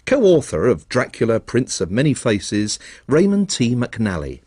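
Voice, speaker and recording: A man's voice: mid-range voice, huge pitch swings, unhurried, darkish tone, husky, no echo. Wry male radio documentary presenter. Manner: dry, wry understatement. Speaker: programme presenter. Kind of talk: scripted documentary narration.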